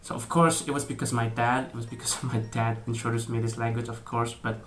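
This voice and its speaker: Bright-voiced young Indonesian man, hesitant. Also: medium pitch, level tone, brisk. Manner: hesitant, many fillers and restarts, laughs often. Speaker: vlogger. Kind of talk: casual talk to camera.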